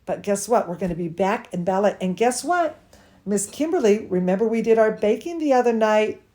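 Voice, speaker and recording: A woman reading a bedtime story to children as their dance teacher, theatrical and gushing, with drawn-out emphasis. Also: mid-range voice, animated, mellow.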